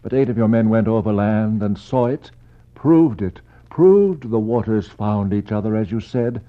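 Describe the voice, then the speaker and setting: An actor playing a solemn, intense explorer who narrates to the dead in poetic, incantatory lines, in a 1960s radio drama. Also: male voice, lowish voice, expressive, measured pace. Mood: probing, grave. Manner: incantatory, rhetorical questioning. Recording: muffled, faintly noisy 1960s radio recording.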